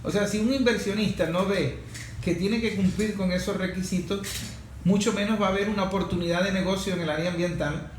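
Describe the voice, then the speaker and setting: A man's voice: sits high, steady tone, a little fast. A Venezuelan man speaking as an interviewer, explaining conversationally and repeating phrases for emphasis. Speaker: interviewer, show host. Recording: echoey room with faint noise.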